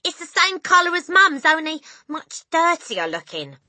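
A woman narrator puts on a bratty young son in a storybook. He sounds loud and accusing, with a high, forceful voice full of astonishment. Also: sing-song, moderate pace, no echo, heavily acted. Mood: shocked accusing. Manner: shouting, accusing.

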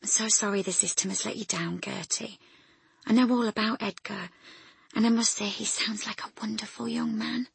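A woman voicing a kind young carer in a storybook, speaking in a soft, breathy whisper. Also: high voice, flat delivery, unhurried, voice pushed up, heavily acted. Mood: tender hushed. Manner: tender, hushed.

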